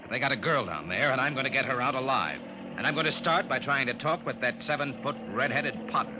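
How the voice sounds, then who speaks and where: A man's voice: mid-range voice, animated, fast, lightly acted. A man playing a brave, determined officer from Earth, firm and earnest, in 1950s science fiction radio style. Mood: tense, resolute. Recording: noisy old radio broadcast.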